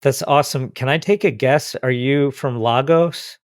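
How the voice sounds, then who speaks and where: A man's voice: medium pitch, moderate pace, clean tone, no echo. Enthusiastic male podcast host, close and dry. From India. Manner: enthusiastic, effusive. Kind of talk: podcast interview.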